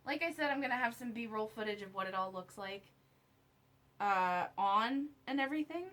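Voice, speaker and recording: A woman with a fairly high, very bright voice presents an unboxing vlog. She talks in a casual, slangy way, as if chatting to viewers and to herself at once. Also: expressive, moderate pace. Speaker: vlogger reviewing her own merch. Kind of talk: casual unboxing chat to camera.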